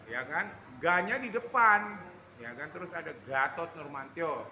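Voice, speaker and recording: A man's voice: on the high side, lively intonation, measured pace, sharp tone. A man from Java, explaining his views conversationally as an interview guest, often checking that the listener follows. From Java, Indonesia. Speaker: interview guest giving political opinion. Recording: roomy, echoey sound with background noise.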